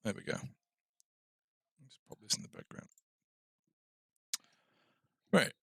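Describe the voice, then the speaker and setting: A man's voice: slow pace, sharp tone, no room sound. A man casually thinking aloud as he hosts a game coding tutorial livestream. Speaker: game development tutorial host.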